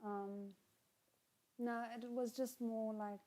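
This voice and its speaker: A woman hosting a live watchalong, reacting casually and chatting with viewers in a halting, unhurried way, often trailing off mid-thought. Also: on the high side, little pitch movement, warm tone, low-quality recording.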